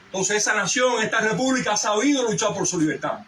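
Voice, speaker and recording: Middle-aged man from Cuba, fervent orator; bright voice, faint noise behind. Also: high voice, lively intonation, fast, roomy sound, far from the mic. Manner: fervent, rising to shouts. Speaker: speaker at a commemoration. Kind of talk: impassioned patriotic speech. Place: outdoor garden event.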